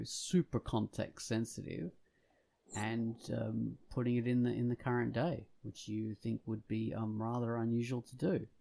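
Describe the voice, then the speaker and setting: A man's voice: lowish voice, creaky, darkish tone. A man talking casually as a podcast co-host, hesitant and rambling as he thinks aloud. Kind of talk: casual discussion of films and comics.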